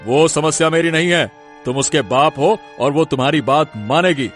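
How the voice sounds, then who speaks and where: A man's voice: fairly high pitch, moderate pace, a bit bright, soft background music, natural voice. A man playing a stern, domineering, vengeful village big man in a cartoon story, scolding and threatening. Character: menacing, vengeful village big man scheming against a young woman. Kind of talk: Hindi cartoon story acting.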